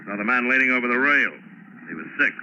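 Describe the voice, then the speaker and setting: An actor playing a stern, commanding ship's officer in a 1940s radio drama. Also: male voice, mid-range voice, animated, fast talker, pushed and bright. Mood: scornful. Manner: curt, commanding. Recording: dull lo-fi 1940s radio with faint hiss.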